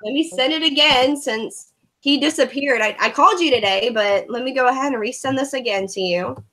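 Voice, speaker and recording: A woman's voice: high voice, fairly quick, bright, forceful tone, poor audio. A woman from Korea hosting a casual video-call movie review, chatty and sarcastic. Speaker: host.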